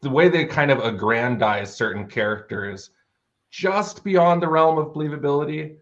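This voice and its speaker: A man's voice: a little high, expressive, normal speed, highs cut off. An American man in his thirties speaking as an interview guest, telling stories in a casual, enthusiastic and wordy way. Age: 30s. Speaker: interview guest, fantasy author. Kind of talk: casual conversational storytelling.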